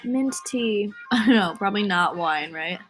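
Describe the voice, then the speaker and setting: A young American woman with a stuffy, congested voice from a cold talks casually to her fans, rambling and filling her stories with "like". Her pitch sits mid-range, with faint music beneath her. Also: animated, moderate pace. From United States. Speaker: singer answering fan comments. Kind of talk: casual chat on a livestream.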